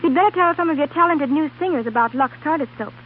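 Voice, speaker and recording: A woman's voice: high voice, fast talker, close to an everyday voice. A woman playing a sensible, know-it-all Hollywood secretary in a 1930s radio commercial, lecturing and persuasive. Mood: insistent, selling. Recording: tinny 1930s radio, faint hiss.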